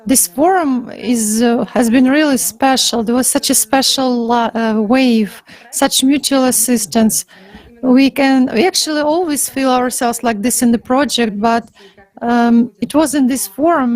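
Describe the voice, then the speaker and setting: A woman speaking informally on a panel, earnest and somewhat halting. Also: high voice, a little mellow, low-quality recording.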